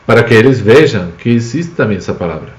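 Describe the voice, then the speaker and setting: A man's voice: medium pitch, animated, fast, echoey room. A man from Spain, a native Spanish speaker with a Spanish accent, explains words with examples in an informal live language lesson as the teacher. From Spain, Basque Country.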